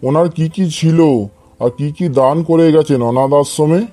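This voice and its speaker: A man's voice: fairly high pitch, normal speed, mellow, faint music behind, lightly acted. A man playing an exasperated, scolding schoolteacher in a comedy cartoon. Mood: stern questioning. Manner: scolding, threatening, theatrical. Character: exasperated male village schoolteacher scolding cheeky pupils. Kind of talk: comedy cartoon dialogue in Bengali.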